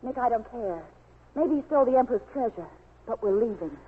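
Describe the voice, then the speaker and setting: A woman's voice: a little high, animated, brisk, husky. A woman acting a reporter's breezy, determined wife in a 1940s radio drama. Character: reporter's weary, breezy wife, eager to go home. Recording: muffled, tinny 1940s radio recording.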